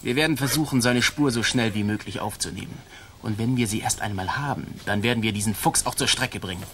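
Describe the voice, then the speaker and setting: An actor playing a boastful, swaggering colonial army sergeant in a radio drama. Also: male voice, medium pitch, fast talker, a little hiss or hum, natural voice. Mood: businesslike. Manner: swaggering, theatrical, loud-mouthed. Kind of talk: German radio drama acting.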